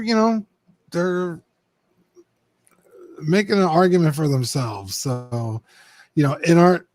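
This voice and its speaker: A man's voice: on the high side, a little mellow, no room sound. A young man co-hosting a casual collectibles podcast, rambling with lots of ums and the odd swear. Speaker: co-host.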